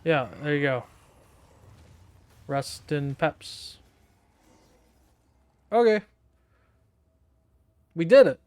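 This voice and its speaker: Man with a slightly high, laid-back voice. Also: animated, extremely slow, pauses often, a little mellow. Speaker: gaming streamer. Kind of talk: casual gameplay commentary.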